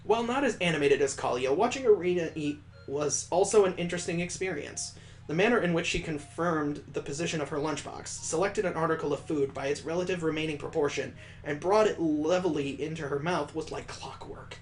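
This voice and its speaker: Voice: medium pitch, fairly quick, soft background music. Casual gamer reading a story aloud. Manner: casual asides and joking remarks between read passages. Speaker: host reading a visual novel aloud. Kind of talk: let's play narration, reading aloud.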